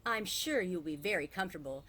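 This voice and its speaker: A woman's voice: on the low side, expressive, a little fast, pushed and bright. A woman reading a storybook voices a courteous, reassuring hotel bellboy. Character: polite, seasoned hotel bellboy.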